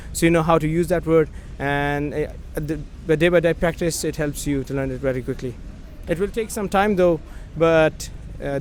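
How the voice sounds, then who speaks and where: A man's voice: on the high side, a little mellow. A young man with a Pakistani accent and a slightly raised voice explains things earnestly, restarting his sentences as he goes. Faint traffic rolls by in the background. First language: Urdu. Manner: earnest, repeats "quite", restarts often. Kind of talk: casual interview answers. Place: outdoors on a city street.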